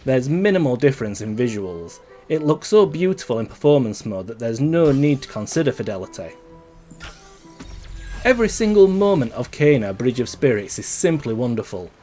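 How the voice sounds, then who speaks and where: A man reading a scripted video game review in a measured, articulate way, as a reviewer would. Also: medium pitch, big pitch swings, dark tone. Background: background music.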